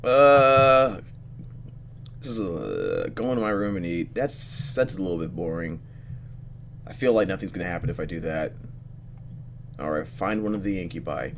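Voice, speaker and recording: Male let's player, lower register, expressive, switches between reading game text and commentary; faint noise and hum behind. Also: moderate pace, vocal fry, long pauses. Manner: expressive, thinking out loud. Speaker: let's player reacting to a visual novel.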